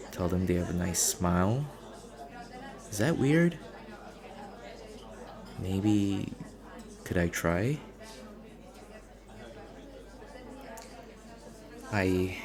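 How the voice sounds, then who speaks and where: Man acting shy, low, gravelly-voiced anxious stranger. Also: exaggerated voice. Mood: shyly amused. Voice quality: low, gravelly with vocal fry. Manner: hesitant, rambling, earnest, self-conscious. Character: shy, socially anxious, gentle young male stranger in coffee shop. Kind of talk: ASMR boyfriend audio roleplay, intimate naturalistic acting.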